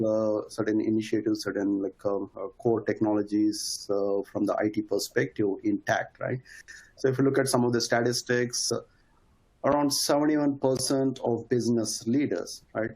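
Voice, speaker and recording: A middle-aged man gives a webinar presentation as a guest speaker. His voice is on the low side. He talks in a halting way, padding phrases with "like" and tag questions. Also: darkish tone, low-quality recording. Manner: halting, peppered with "like" and "right?". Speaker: guest speaker, industry evangelist.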